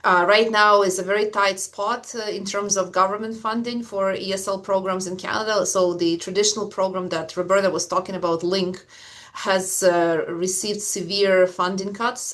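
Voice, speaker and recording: A woman's voice: medium pitch, fairly bright tone. A woman for whom English is a third language, an ESL teacher speaking earnestly in a webinar with some fillers. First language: not English. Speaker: ESL teacher, applied linguist. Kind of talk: webinar panel remarks.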